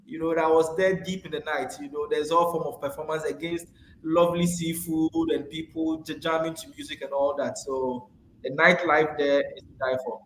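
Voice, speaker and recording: A man's voice: on the high side, steady tone, normal speed, fairly bright tone, slight background noise. Gushing man in an echoey room. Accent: foreign accent, not local to the island. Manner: gushing, many fillers and restarts. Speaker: guest describing a trip. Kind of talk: casual enthusiastic chat.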